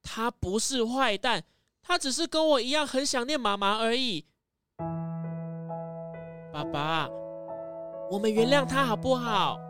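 A woman voicing a kind young child who pleads gently in a children's audio drama. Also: a little high, animated, measured pace, long pauses. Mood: gentle pleading. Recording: music behind the voice.

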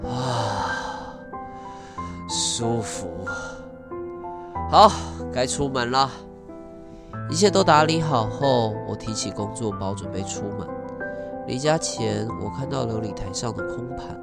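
An actor playing a pompous, callous restaurant tycoon in an audio drama monologue. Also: male voice, medium pitch, expressive, slow, vocal fry, long pauses, over music. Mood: nonchalant, detached. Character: smug, callous gourmet restaurant tycoon, indifferent man. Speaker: actor and first-person narrator.

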